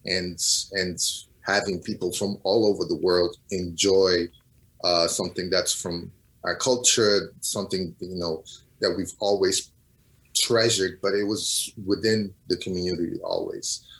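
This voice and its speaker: A middle-aged man from Portugal, speaking as a DJ being interviewed, in a reflective, halting conversational way. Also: sits low, steady tone, fairly bright tone, rough recording. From Portugal, of Cape Verdean family. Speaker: DJ, interview guest.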